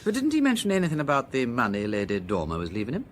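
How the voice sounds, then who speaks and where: An actor playing a dapper, shrewd aristocratic detective of the 1920s in a radio drama, with an upper-class English accent and a brisk drawl. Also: male voice, mid-range voice, huge pitch swings, slight background noise. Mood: probing.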